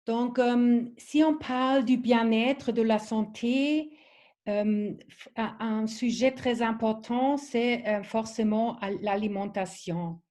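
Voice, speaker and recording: German-accented woman, slow and hesitant. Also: a little high, warm tone. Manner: hesitant, many fillers and restarts. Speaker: presenter of a training webinar. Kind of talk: online training presentation.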